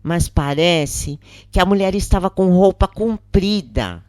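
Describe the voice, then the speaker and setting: A woman's voice: lowish voice, measured pace, darkish tone, no echo, clean studio sound, pitched above the performer's own voice, natural voice. A woman with a Brazilian accent voicing a troubled, earnest woman prisoner in an audiobook story. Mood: uncertain, troubled. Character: troubled woman prisoner Gilda, remorseful, questioning, uncertain.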